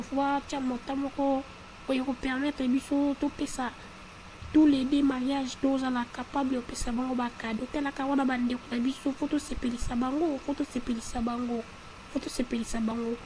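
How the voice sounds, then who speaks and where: A woman's voice: sits high, little pitch movement, fairly quick, dark tone, lightly acted. A woman voicing an earnest, insistent cartoon character in conversational dialogue, often repeating herself. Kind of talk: conversational cartoon dialogue in Lingala mixed with French. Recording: noisy background hum.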